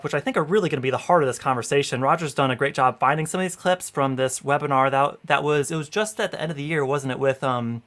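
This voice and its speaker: A man's voice: medium pitch, a bit bright. A man in his thirties hosting a casual livestream in an upbeat way, with a lot of "you know" fillers, speaking into a headset at home. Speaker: host. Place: home room.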